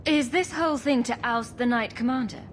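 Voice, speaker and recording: A woman's voice: fairly high pitch, fairly quick, a little hiss or hum, lightly acted. Woman playing a composed, direct heroine. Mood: firm, inquiring. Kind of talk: dramatic video game dialogue.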